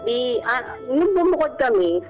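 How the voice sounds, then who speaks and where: A woman's voice: high-pitched, big pitch swings, normal speed, lo-fi sound. A woman in her thirties telling a personal story conversationally and respectfully as a caller on a radio show. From Philippines. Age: 30s. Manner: respectful, frequent polite particles. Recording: radio broadcast with music bed. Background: music.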